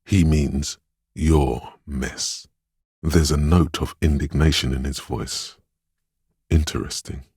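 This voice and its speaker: A man voicing a tender, perceptive inner voice of empathy in a video game, speaking in a measured, intimate, dramatic way with a husky, gravelly tone. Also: a bass voice, steady tone, darkish tone, dry recording, studio quality, heavily acted. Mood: tender, knowing. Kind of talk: scripted game narration, second-person commentary.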